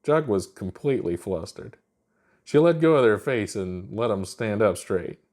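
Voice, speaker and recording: A man narrating a scripted story in an expressive way. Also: on the low side, measured pace.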